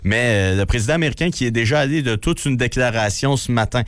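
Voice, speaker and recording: A man's voice: medium pitch, quick pace, clear, resonant tone, no room sound. A man with a Quebec French accent reads traffic and news items on the radio, matter-of-fact, with slangy remarks between items. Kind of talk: radio news bulletin, read briskly with casual asides.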